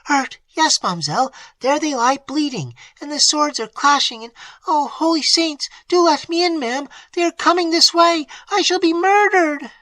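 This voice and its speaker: A reader voicing Annette, a frightened young maid who talks in a high, urgent, breathless way. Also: wildly swooping pitch, unhurried, a bit bright, dry recording, heavily acted. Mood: panicked.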